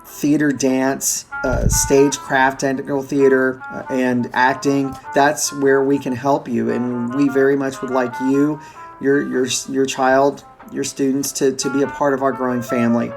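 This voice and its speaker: A man speaking as host and theater director, giving an earnest, halting welcome speech. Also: mid-range voice, level tone, creaky, over music, rough recording.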